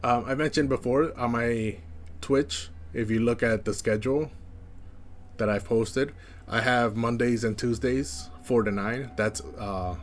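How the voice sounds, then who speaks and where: A young man with a slight lisp, chatting casually as a first-time streamer in a flat, monotone way with many fillers. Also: medium pitch, measured pace. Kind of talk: casual chat.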